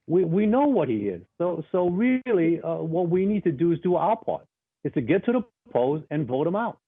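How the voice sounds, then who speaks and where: A man's voice: on the high side, very animated, moderate pace, breathy edge. Blunt man on a tinny phone line. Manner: emphatic, blunt, repeats words at starts. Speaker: interview guest, actor. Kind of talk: remote interview answers.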